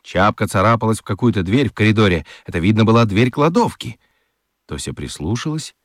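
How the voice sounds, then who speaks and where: A man narrating a children's radio play as an expressive storyteller. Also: fairly low pitch, brisk, no echo, studio quality.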